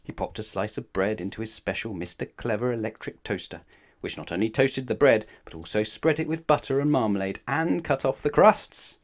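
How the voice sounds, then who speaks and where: A man narrating a children's picture book aloud, expressive and often addressing the young listener directly. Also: on the low side, brisk. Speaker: storybook narrator.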